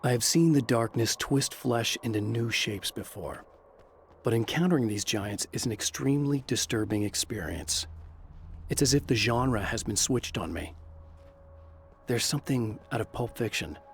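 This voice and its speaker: An actor narrating as a brooding writer hero, measured and grim. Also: male voice, medium pitch, a little hiss or hum, natural voice. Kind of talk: scripted game narration.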